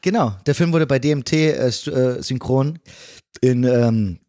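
A man's voice: mid-range voice, measured pace, dark tone, no room sound, studio quality. A man speaking as a podcast guest and working actor, chatting casually about his job. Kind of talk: casual conversational chat.

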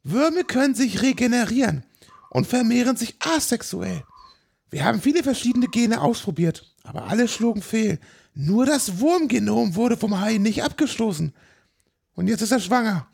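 A man voicing an earnest female scientist in falsetto, explaining things in parody film dialogue. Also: big pitch swings, normal speed, gravelly, darkish tone, breathy edge, full character voice.

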